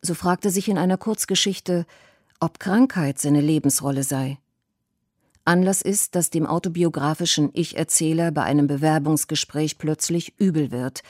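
A woman reading a radio documentary script in a calm, measured way. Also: low voice, no room sound, clean studio sound. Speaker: documentary narrator.

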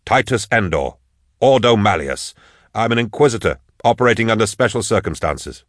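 A man as a deep-voiced, terse investigator. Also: lively intonation, normal speed, fairly bright tone, dry recording, clean studio sound. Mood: authoritative. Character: cool, authoritative male investigator, terse and controlled. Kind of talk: audiobook character dialogue.

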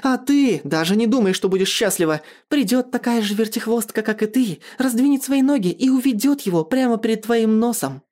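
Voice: medium pitch, a little fast, hardly any pauses, close to an everyday voice. A performer voicing a furious, betrayed young woman in an audiobook story, accusing in explosive, hurt outbursts. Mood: enraged. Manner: shouting, growling, accusing outbursts.

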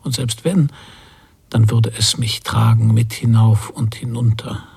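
An actor playing a brooding emigrant father who recalls a stormy sea voyage in a reflective, measured radio play monologue. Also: on the low side, fairly even intonation, creaky, darkish tone, husky, exaggerated voice. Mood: awed reverie.

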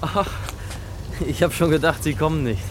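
Hesitant young man, radio drama, male actor: lightly high voice, sincere, street ambience behind. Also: normal speed, natural voice. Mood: hesitant, earnest. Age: young adult. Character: earnest, hesitant young man on a secret meeting. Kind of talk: German radio drama acting. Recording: street noise with traffic behind.

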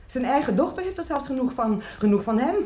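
A woman's voice: high voice, animated, fast, a little mellow. A woman acting in a radio play as a practical, complaining sister-in-law of a drunkard, chatty and matter-of-fact. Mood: grumbling, resolute.

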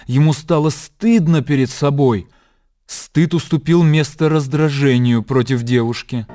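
Mellow male radio drama narrator. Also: a little high, a little slow.

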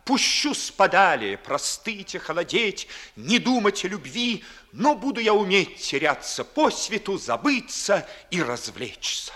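An actor playing a lovesick, sharp-witted young nobleman in a 1950s Russian verse radio play, with declamatory, theatrical delivery. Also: high-pitched, very animated, a little slow, hardly any pauses, heavily acted. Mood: pleading, bitter. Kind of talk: 1950s Russian classical stage acting in verse.

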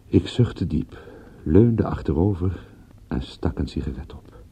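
A man's voice: sits low, lively intonation, measured pace, vocal fry, warm tone, slight background noise, full character voice. A man playing an agitated, self-doubting but dogged private detective in a Dutch radio play. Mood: measured narration. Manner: stammering, restless, self-reproaching. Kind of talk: Dutch radio play dialogue and first-person narration.

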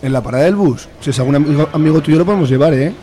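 A man playing a brisk, authoritative middle-aged father in a radio drama. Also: fairly high pitch, crowd noise, natural voice. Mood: helpful. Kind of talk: amateur radio drama acting.

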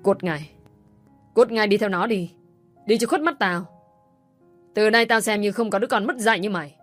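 A woman voicing an angry older father who scolds and disowns his daughter, shouting. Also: medium pitch, normal speed, soft background music, voice pushed up, close to an everyday voice. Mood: furious. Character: furious old village father disowning daughter.

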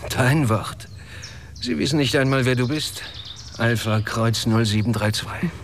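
An actor playing a proud, cynical, paralysed inventor in a German radio drama, with cutting sardonic delivery in standard German. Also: male voice, mid-range voice, measured pace, slight background noise, natural voice. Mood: dry, mocking.

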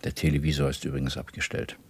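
An actor playing a suave, powerful party official in a radio drama, politely formal, deliberate and probing. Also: male voice, rumbling low, flat delivery. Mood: guarded, probing. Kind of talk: German radio drama acting.

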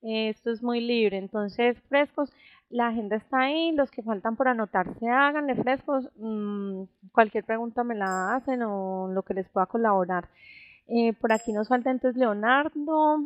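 A woman's voice: a little high, normal speed, smooth, no echo. Woman hosting an online meeting. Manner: relaxed, reassuring, with fillers. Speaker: meeting organizer. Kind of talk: online group meeting, casual. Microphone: computer mic on a voice call. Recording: dull lo-fi voice call audio.

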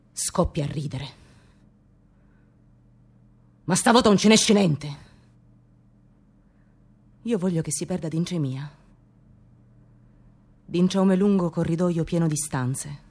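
Slow-speaking, lonely, wounded woman. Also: low-pitched, darkish tone, breathy edge, exaggerated voice. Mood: bitter, resolute. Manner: slow, deliberate, broken into short phrases. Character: lonely, wounded, brooding woman waiting for her lover. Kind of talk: theatrical radio play monologue.

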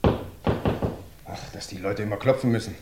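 A man's voice: fairly low pitch, measured pace, noisy background, lightly acted. A man playing a jumpy police clerk, roomy old sound. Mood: eager. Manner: nervous, eager.

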